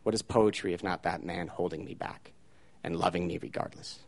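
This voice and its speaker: A man telling a personal story live on stage, shifting between loud, charged lines and hushed, poetic ones. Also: deep voice, fairly even intonation, fairly quick, creaky. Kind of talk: live spoken-word storytelling.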